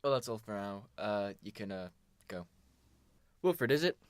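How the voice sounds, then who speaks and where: A man's voice: lowish voice, animated, measured pace, smooth, no echo. Man as curt police inspector, very dry sound. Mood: probing. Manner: curt, businesslike, probing. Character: brusque, suspicious male police inspector questioning circus performers. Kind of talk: amateur 1920s-set radio drama acting.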